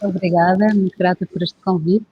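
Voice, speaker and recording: A woman from Portugal with a European Portuguese accent, a guest herbalist telling her story in a relaxed, hesitant way with many fillers. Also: on the low side, normal speed, over a noisy crowd. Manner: reflective, hesitant, with frequent filler phrases. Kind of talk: casual interview conversation. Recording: dull, dry lo-fi recording.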